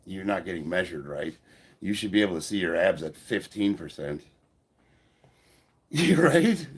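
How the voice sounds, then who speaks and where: A deep-voiced American man in his fifties talks casually to his livestream viewers. He sounds stuffed up from a head cold, and he answers comments bluntly, with swearing and easy laughter. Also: husky. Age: 50s. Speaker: livestream host and powerlifter.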